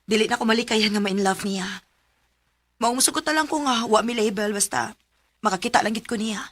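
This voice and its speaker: A woman's voice: a little high, fairly quick, a bit bright, dry recording. A woman playing a lovestruck, hesitant young woman confiding in a Cebuano Filipino accent in a radio romance drama.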